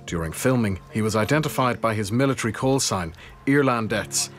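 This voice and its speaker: A man's voice: on the low side, brisk, soft background music. A man reading a scripted news report as narration.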